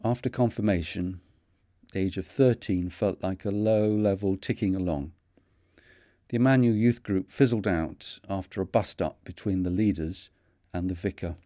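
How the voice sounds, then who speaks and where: A man in his fifties with a Manchester accent, hosting a podcast and narrating his own story in a measured, earnest way. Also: low voice, dark tone, no echo, studio quality. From North of England. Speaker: podcast host. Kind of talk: scripted reflective narration.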